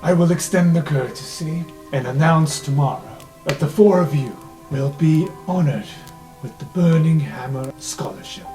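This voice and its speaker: A man's voice: fairly high pitch, moderate pace, mellow, faint music behind, lightly acted. A man voicing a haughty, spiteful wealthy man with a sneering, formal manner in a tabletop roleplay game.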